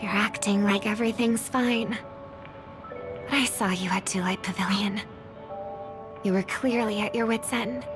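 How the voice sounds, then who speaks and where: An actress playing a gentle young singer and devoted younger sister in a game cutscene, speaking in a soft, hushed way. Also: female voice, medium pitch, steady tone, natural voice. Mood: hushed worry. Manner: soft-spoken, slow and hushed. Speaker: game character. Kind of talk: voiced game cutscene dialogue. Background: game music.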